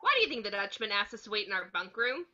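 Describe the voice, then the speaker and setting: An actor voicing an excitable, naive young male cartoon sea sponge, eager and childlike, in a script reading. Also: medium pitch, rapid-fire, lo-fi sound. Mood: excited.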